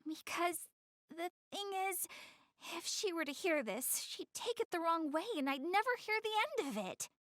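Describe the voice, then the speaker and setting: A woman's voice: very high-pitched, animated, moderate pace, fairly bright tone, no echo, studio quality. A woman playing a proud, clumsy, secretly caring anime girl in a video game, chatty, defensive and easily flustered. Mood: flustered, secretive. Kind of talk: English-dubbed anime video game cutscene acting.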